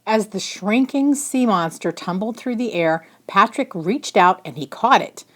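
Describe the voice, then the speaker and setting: A woman narrating a children's picture book in an expressive storytelling style. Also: medium pitch, a little slow. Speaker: storybook reader.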